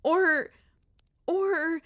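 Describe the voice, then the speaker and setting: A woman voicing a gentle, wistful and anxious young centipede monster girl in an ASMR audio roleplay, speaking softly and hesitantly in a conversational way. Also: very high voice, steady tone, very slow, no echo, studio quality, full character voice. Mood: alarmed, suspicious.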